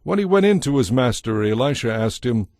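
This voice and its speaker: A man's voice: medium pitch, very animated, a little fast, darkish tone, no room sound. A man narrating a dramatized audio Bible as a scripted story, recorded close. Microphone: close, dry studio microphone. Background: faint music.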